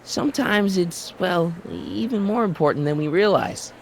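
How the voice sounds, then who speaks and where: A man's voice: high-pitched, lively intonation, moderate pace, creaky, slight background noise. An actor playing an earnest, well-meaning American teenage boy in a video game, casual, hesitant and pleading.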